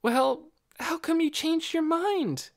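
A cold, hurt young girl in a storybook, voiced by a woman. She is curt and guarded, with a raised pitch and a grudging edge. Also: slow, full character voice.

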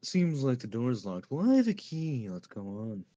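A man's voice: mid-range voice, sing-song, normal speed, dark tone, no echo, highs cut off, natural voice. A man voicing a mischievous cartoon rabbit in a fandub, casual, teasing and smug.

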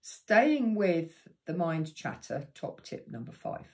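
A woman in her sixties with a croaky voice, giving practical meditation tips to the camera in a chatty, unhurried way from her living room. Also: lowish voice, sing-song. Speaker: meditation teacher. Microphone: camera in front of her.

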